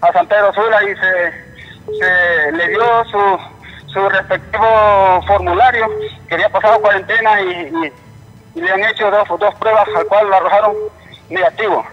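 A man with a Honduran accent calling a live news programme by phone, speaking in an indignant, halting way and repeating himself. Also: high voice. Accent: Honduran Spanish. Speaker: phone-in caller, relative of a patient. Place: telephone call to a TV studio. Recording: muffled, reverberant phone line.

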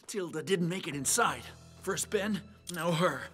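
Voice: medium pitch, measured pace, a bit bright, faint music behind, lightly acted. An actor playing an earnest, brave young desert prince in a heroic cartoon style. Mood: grave, determined.

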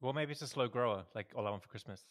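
A man's voice: medium pitch, rapid-fire. A man co-hosting a podcast in casual chat, agreeable and fond of repeated short affirmations, on a podcast microphone. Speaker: podcast co-host. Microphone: podcast microphone, video call.

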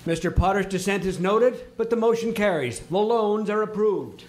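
A man's voice: sits high, normal speed, noisy background, close to an everyday voice. An actor playing a formal board chairman running a meeting in an amateur radio play. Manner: formal, presiding.

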